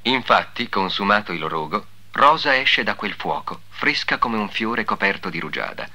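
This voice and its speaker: A man's voice: lowish voice, lively intonation, moderate pace, very noisy. A man narrating in a formal, declamatory 1950s devotional documentary style, with solemn delivery. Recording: old 1950s soundtrack, music behind.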